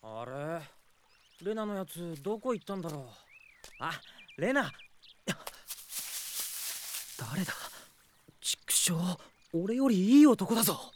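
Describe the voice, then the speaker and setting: An actor playing a brash, excitable young male thief in a Japanese radio drama, speaking informally. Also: medium pitch, slow, pauses often, a little hiss or hum. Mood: anxious, muttering. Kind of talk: Japanese anime-style radio drama acting.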